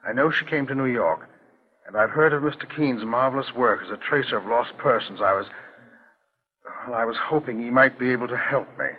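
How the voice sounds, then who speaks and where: An actor playing a polite, hesitant, grave client in a 1940s radio mystery, speaking formally and haltingly. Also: male voice, medium pitch, husky, natural voice. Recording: dull 1940s radio recording.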